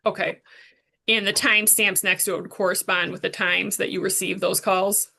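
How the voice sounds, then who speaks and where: A woman's voice: mid-range voice, little pitch movement, moderate pace, gravelly, sharp tone, a little hiss or hum. A woman working as a prosecuting attorney, questioning a witness formally and step by step in court.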